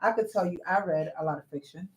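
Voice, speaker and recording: A woman's voice: on the low side, fast, rough recording. A woman in her forties with a New York accent, co-hosting a talk radio show and telling frank, blunt stories in casual chat. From Latin America. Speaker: co-host.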